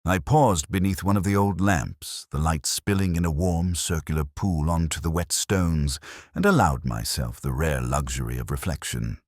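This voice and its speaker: Really deep male narrator, measured and reflective, reading a detective story, dry close sound. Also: no echo. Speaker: audiobook-style story narrator. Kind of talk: scripted first-person detective story narration.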